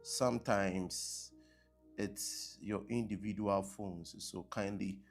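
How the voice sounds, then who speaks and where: A man preaching on a live stream who repeats his blessings and keeps asking viewers whether he can be heard and seen clearly. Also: lowish voice, steady tone, slow pace, soft background music. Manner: repetitive, checking with online viewers. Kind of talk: live-streamed sermon opening. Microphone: laptop mic on a live stream.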